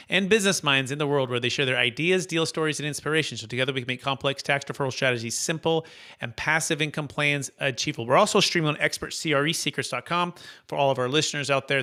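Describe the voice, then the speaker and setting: A man's voice: fairly high pitch, sharp tone. A man hosting a podcast, reading a polished intro and then asking interview questions in an upbeat way. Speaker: podcast host.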